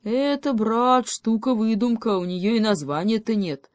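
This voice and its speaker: A woman's voice: on the high side, a little slow, no echo, studio quality, pitched above the performer's own voice, natural voice. A woman voicing a sly male hedgehog in a children's story, speaking with mock gravity and teasing deliberation. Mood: ominous teasing.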